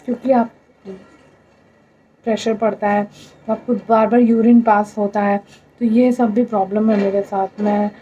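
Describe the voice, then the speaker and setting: Hoarse pregnant woman, tired and plaintive. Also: mid-range voice, level tone, moderate pace, long pauses, warm tone, highs cut off. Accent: Indian. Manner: tired, plaintive, mixes English words. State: hoarse, throat infection. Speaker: vlogger. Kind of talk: casual talk to camera.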